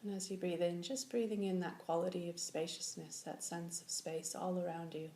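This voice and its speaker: A woman leading a guided meditation as a teacher, giving slow, gentle instructions with long pauses. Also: lowish voice, fairly even intonation. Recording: echoey room with faint background noise.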